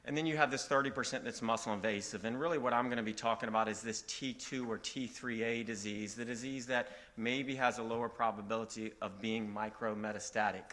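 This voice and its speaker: A man from Virginia giving a medical conference talk in a relaxed, folksy way with frequent fillers. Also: mid-range voice, a little fast, few pauses. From Virginia, southeastern United States. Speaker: conference speaker.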